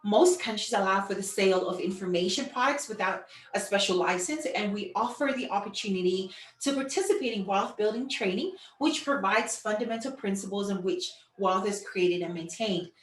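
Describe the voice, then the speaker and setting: Echoey, distant-miked woman presenting informally. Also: medium pitch. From Samoa. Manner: halting, repetitive, leans on "you know". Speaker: online business presenter. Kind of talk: informal presentation with personal storytelling. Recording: echoey room, distant microphone.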